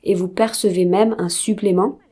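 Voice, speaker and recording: Mellow-voiced woman asking interview questions. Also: medium pitch, fairly even intonation, moderate pace. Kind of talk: French voice-over of an interview.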